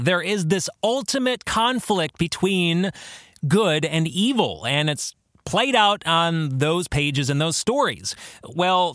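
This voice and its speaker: A man delivers an explanatory podcast monologue in a slightly high voice. He takes his time, phrasing things deliberately and leaning on key words. The sound is very dry, with no room around it. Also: a bit bright, no room sound. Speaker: podcast host, author and minister.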